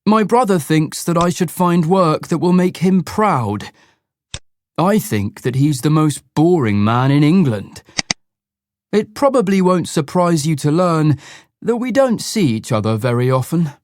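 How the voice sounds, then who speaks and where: A man reading the part of a proud, clever detective slowly and carefully for learners. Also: fairly high pitch, sing-song, darkish tone. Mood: self-assured. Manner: slow, measured, self-assured.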